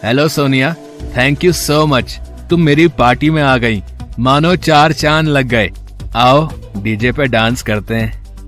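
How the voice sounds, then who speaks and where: A man playing a spoiled, sly rich college boy in a dramatised story, smooth-talking and cocky. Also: mid-range voice, slow pace, fairly bright tone, faint music behind. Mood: coaxing. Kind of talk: dramatised Hindi moral story.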